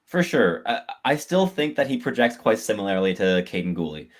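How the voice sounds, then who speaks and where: A man co-hosting a sports podcast, giving measured, thoughtful analysis with occasional restarts. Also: fairly low pitch, big pitch swings. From Canada. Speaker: podcast co-host.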